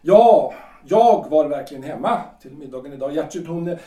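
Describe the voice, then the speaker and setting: A man's voice: on the high side, animated, mellow, distant mic, close to an everyday voice. A man playing Gustav, a hesitant lawyer and politician in his forties, who halts and hedges with many fillers. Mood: uneasy. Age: 40s. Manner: hesitant, halting, full of fillers. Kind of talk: audio drama acting. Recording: echoey room, voice off-mic.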